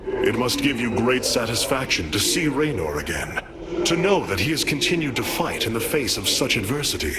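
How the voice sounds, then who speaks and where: An actor playing a noble, solemn alien warrior leader in a video game, with formal, stately and grave speech. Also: male voice, mid-range voice, sing-song, moderate pace, heavy background noise, natural voice. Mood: earnest. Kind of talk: dramatic game cinematic acting. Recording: loud cinematic music behind.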